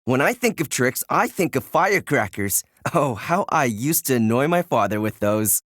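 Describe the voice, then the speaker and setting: A man's voice: mid-range voice, sing-song, normal speed, fairly bright tone, no room sound. A man voicing a generous, cheerful fantasy hero in scripted video game lines. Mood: nostalgic.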